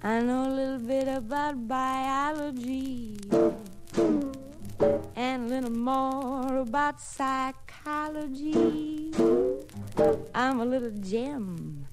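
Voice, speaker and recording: A woman's voice: high-pitched, slow pace, poor audio. A woman singing a playful vintage jazz-pop song in an old radio style. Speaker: singer. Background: guitar and band music.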